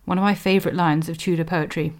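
A woman presenting a scripted history explainer in a measured, scholarly manner, giving emphasis to quotations. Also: lowish voice, steady tone. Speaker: podcast host, historian.

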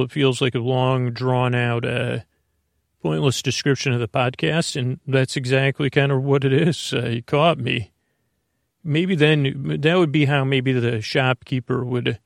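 A man with a creaky, gravelly voice drifts through a slow bedtime ramble, losing his thread and circling back. The sound is close and dry, with no room around it. His pitch sits in an ordinary middle range. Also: no room sound. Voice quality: creaky, dulcet. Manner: meandering, slow, self-interrupting. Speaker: sleep podcast host.

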